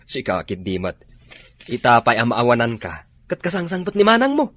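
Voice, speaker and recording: A man's voice: medium pitch, huge pitch swings, normal speed, a bit bright. A man with an Ilocano accent playing a bossy drinking buddy in a radio comedy drama. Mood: goading. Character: bossy drinking buddy angling for free liquor.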